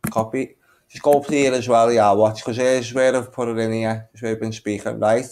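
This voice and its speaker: A man's voice: medium pitch, fairly even intonation, normal speed, a little mellow, slight background noise. A man giving casual, rambling commentary as he shares his screen, thinking aloud as he goes. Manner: rambling, thinking aloud, muttering little filler tunes. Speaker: video maker and narrator.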